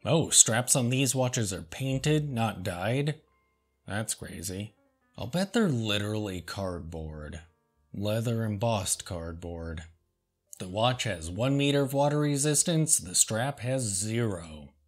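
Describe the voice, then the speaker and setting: Slow, dry-humored man over soft music. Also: on the low side, expressive, husky. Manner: dry, sarcastic, slow and deliberate. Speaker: host. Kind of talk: deadpan comedic commentary reading reviews.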